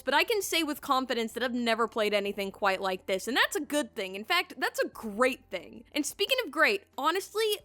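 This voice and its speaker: A woman's voice: high-pitched, fairly bright tone, dry recording. A woman giving a casual, enthusiastic video game review as a voice-over, chatty and emphatic with conversational asides. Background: background music.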